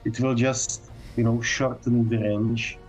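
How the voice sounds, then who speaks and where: A man answering technical questions as a game developer on a casual livestream, speaking halting English with non-native phrasing. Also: mid-range voice, level tone, soft background music.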